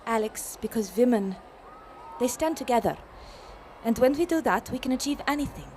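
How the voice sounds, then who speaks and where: Actress as warm 1970s women's activist, resolute and emphatic, lively melody, radio play with noisy ambience. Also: female voice, a little high, normal speed, natural voice. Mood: firm, warm. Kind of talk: radio drama acting.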